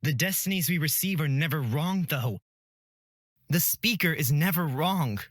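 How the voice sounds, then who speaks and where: A woman voicing an earnest, bewildered young man in a fantasy visual novel, speaking haltingly. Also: low voice, expressive, no room sound, clean studio sound, voice pushed down. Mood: troubled, earnest. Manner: hesitant, halting, faltering. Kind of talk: acted visual novel dialogue.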